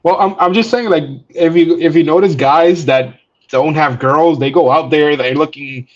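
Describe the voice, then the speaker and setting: A man's voice: fairly high pitch, normal speed, muffled recording. A man chatting casually on a live stream, laid-back, often repeating and restarting his words.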